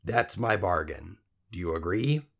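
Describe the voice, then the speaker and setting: A man voicing a sly, gloating little old gnome man from a fairy tale, croaky, snappish and taunting. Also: low voice, expressive, unhurried, fairly bright tone, heavily acted. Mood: scheming.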